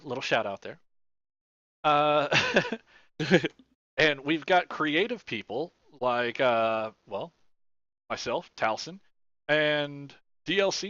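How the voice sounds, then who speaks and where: Male podcast host, animated, bright tone, cusses casually. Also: on the high side, no echo, muffled recording. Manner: animated, swears freely.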